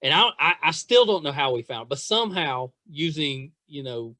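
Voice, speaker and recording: A middle-aged man with a fairly high voice, recorded dry and close. He hosts in a loose, conversational style, effusive and swooping in pitch as he tells stories. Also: normal speed, no echo. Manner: excitable, effusive, repeats phrases for emphasis. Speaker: podcast host interviewing a guest. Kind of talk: casual chat, storytelling.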